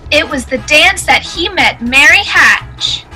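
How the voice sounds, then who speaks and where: A woman's voice: high voice, animated, takes it slowly, sharp tone, faint music behind, rough recording. A woman giving scripted narration in a radio play.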